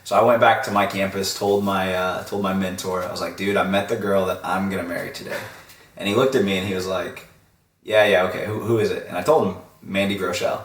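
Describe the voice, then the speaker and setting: Young guy with a really low voice, joking around dry, echoey room. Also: fairly even intonation, a little fast, distant mic. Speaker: guest, husband. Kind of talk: casual storytelling chat.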